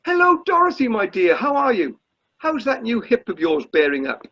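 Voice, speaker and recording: A man's voice: sits high, huge pitch swings, normal speed, bright, forceful tone, a little hiss or hum, full character voice. A man playing a fussy, polite amateur dramatics director in a comic radio play, stammering and tactfully effusive. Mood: effusive welcome. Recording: slightly dull video call audio.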